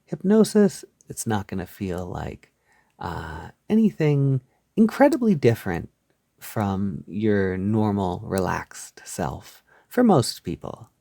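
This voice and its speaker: A man leading a soft-spoken guided hypnosis, soothing and reassuring, gently repeating his phrases on a close mic. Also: lowish voice, sing-song, takes it slowly, creaky, dry recording. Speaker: hypnosis audio creator guiding the listener.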